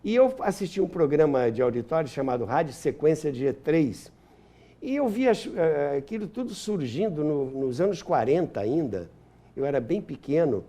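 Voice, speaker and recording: An elderly man from Brazil speaks as a guest historian. His voice sits a bit high and swings in a very lively way. He tells nostalgic stories with enthusiasm, repeating words for emphasis. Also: normal speed. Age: 70s. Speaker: interview guest, radio historian and author. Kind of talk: animated anecdotal storytelling in an interview.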